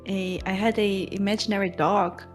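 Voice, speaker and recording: A young woman co-reading a book, speaking in simple, soft-spoken phrasing and laughing along. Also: mid-range voice, fairly even intonation, normal speed, faint music behind. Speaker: co-reader. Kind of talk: casual chat.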